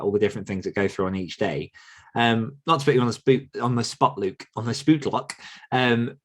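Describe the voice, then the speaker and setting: A man hosting a podcast, talking casually as he leads a group interview. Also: lowish voice. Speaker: podcast host.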